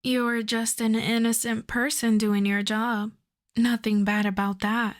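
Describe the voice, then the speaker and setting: A woman's voice: fairly high pitch, level tone, lightly acted. A woman playing a wry, flirtatious female supervillain coaxing a favor, in an intimate, conversational ASMR roleplay style. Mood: coaxing, sheepish. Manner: unhurried, teasing, conversational.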